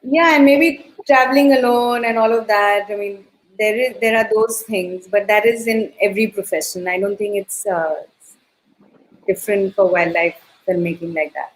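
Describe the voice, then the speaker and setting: Woman talking it over slowly, lots of uh and i mean, mid-range voice. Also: medium pitch, fairly even intonation, poor audio. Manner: reflective, hesitant, laughs easily. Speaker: filmmaker guest. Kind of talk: casual panel chat.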